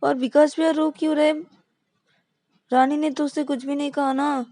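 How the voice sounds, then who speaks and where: A woman's voice: high voice, fairly even intonation, measured pace, warm tone, voice pushed up. A woman voicing a baffled young man. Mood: bewildered. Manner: bewildered, exclaiming.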